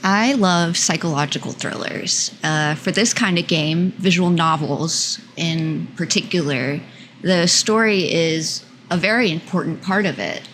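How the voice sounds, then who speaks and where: A woman's voice: lowish voice, a little slow, fairly bright tone, slight background noise. A woman hosting an online interview and asking friendly, curious questions. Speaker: podcast host.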